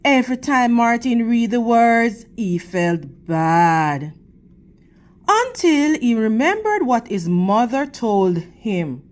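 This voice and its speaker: Slow, high-pitched woman reading to children. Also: very animated. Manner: teacherly, addressing young children. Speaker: read-aloud storytime host for children. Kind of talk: children's picture book read-aloud with questions to listeners.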